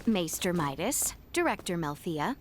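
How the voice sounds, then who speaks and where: An actress playing a formal, earnest young princess in a video game, with courtly old-fashioned phrasing. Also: female voice, on the low side, very animated, unhurried, a little hiss or hum. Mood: formal, purposeful. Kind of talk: video game voice acting, archaic courtly speech.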